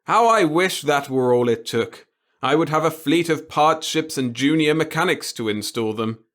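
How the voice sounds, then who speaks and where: A man's voice: a little high, animated, moderate pace, fairly bright tone, voice pushed up. A man voicing a gleefully tyrannical old boss in a sci-fi audiobook, gloating and lecturing as he browbeats his staff. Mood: exasperated, browbeating.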